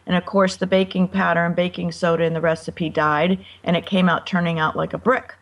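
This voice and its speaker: American woman, soft and a bit distant. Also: fairly low pitch, little pitch movement. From United States. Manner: friendly, halting with ums. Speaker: cookbook author, guest. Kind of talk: interview answers.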